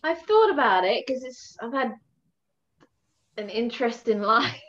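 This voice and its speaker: A woman's voice: on the high side, lively intonation, a little slow, a bit bright, highs cut off. A woman who works as a tattoo artist, chatting casually as a guest, telling stories, swearing and laughing. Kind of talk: casual chat.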